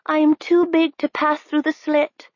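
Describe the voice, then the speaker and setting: A woman's voice: sits high, flat delivery, measured pace, darkish tone, no echo, pitched above the performer's own voice. A woman doing a gloomy, resigned big man. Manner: dry, resigned. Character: loyal, gloomy big male servant. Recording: slightly dull, dry recording.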